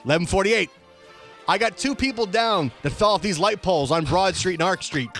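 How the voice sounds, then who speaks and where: A man with a notably high voice hosts a talk show. He reads quoted reports and times aloud with emphatic, announcer-style delivery. Faint music plays behind him. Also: lively intonation, fairly quick, fairly bright tone. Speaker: radio show host. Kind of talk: reading police dispatch quotes aloud on a comedy-tinged talk show.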